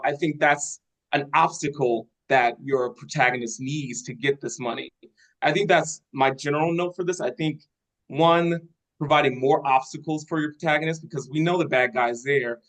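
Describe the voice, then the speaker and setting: A man giving spoken critique in a measured, constructive way, organized point by point, as a participant in a script workshop. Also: mid-range voice, fairly bright tone, low-quality recording. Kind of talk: spoken critique at a table read.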